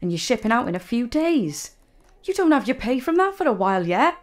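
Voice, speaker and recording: An actress playing a practical, worried young mother, brisk and plain-spoken, in an audio drama. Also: fairly high pitch, very animated.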